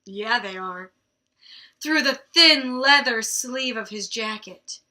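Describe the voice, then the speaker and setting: A young woman reads a romance novel aloud, pausing for dry, mocking asides. Her voice is strikingly bright and forceful. She takes her time and lets each line land slowly. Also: fairly high pitch, expressive. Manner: wry, drily mocking asides. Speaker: book reviewer host. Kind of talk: reading aloud with sarcastic commentary.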